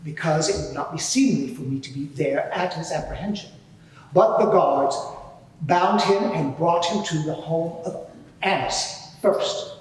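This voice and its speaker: A man playing an indignant, self-righteous high priest giving pompous, deliberate testimony in a dramatised courtroom inquiry. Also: natural voice. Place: large courtroom before a live audience. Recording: very echoey hall, distant mic.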